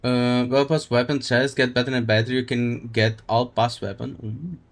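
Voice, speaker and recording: A man live streaming a game, chatting casually in a halting, rambling way as he thinks aloud. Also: medium pitch, level tone. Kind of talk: casual gaming stream chat.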